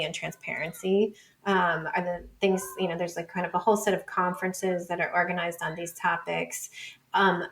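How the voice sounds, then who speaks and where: A woman speaking as an expert guest in a conversational interview, explaining informally with lots of hedging words like "like", "sort of" and "you know". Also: on the low side. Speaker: interview guest, data researcher and book author. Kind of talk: conversational podcast interview answers.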